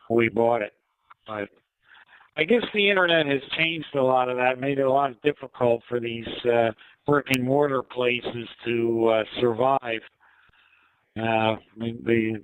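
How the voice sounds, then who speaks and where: An older American man in easygoing, hesitant casual chat, pausing often, heard through a digital ham radio link. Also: mid-range voice, smooth, dry recording, narrow, tinny audio. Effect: digital ham radio voice codec. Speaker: amateur radio operator. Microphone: two-way radio microphone.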